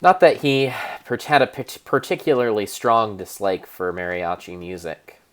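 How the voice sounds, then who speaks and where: A man improvising a mock-dramatic narration of a game in a deadpan storytelling manner, often stopping to correct himself. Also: on the low side. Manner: deadpan storyteller, halting restarts and self-corrections.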